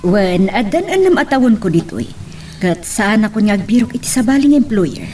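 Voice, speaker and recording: A woman playing an advising older auntie in an audio drama, with an Ilocano accent and an expressive delivery. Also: medium pitch, moderate pace, a little hiss or hum, lightly acted. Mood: encouraging. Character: older auntie, a seasoned, advising overseas worker. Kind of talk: Ilocano radio-style drama acting.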